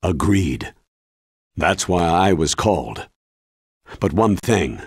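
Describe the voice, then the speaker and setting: Aging ex-army officer for a game: low, rough-edged American voice, solemn and sincere, dry studio sound. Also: male voice, very animated, no echo, heavily acted. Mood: resigned. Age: older. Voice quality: deep husky. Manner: grave, measured, earnest. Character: weary, earnest old retired male military commander. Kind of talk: video game cutscene acting.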